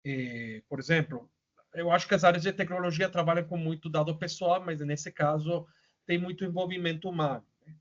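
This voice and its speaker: A man's voice: fairly high pitch, a little fast. A man with a Venezuelan accent presenting a webinar over a video call, speaking casually with many fillers and tag questions. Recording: muffled lo-fi audio.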